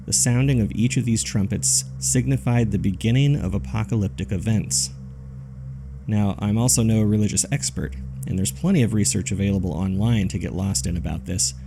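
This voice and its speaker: A man playing an earnest paranormal podcast host, narrating in a serious, measured and deliberate way. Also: on the low side, creaky. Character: earnest male paranormal podcast host reading a listener's ominous letter. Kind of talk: scripted narration, horror fiction podcast. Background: music.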